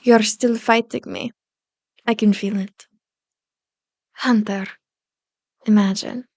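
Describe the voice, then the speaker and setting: A woman's voice: mid-range voice, dark tone, dry recording. A woman playing a powerful, manipulative female vampire in an ASMR roleplay, seductive and condescending, slipping into whispers as she commands and coaxes. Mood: seductive persuasion. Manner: slow, commanding, mock-sweet taunting with whispered words.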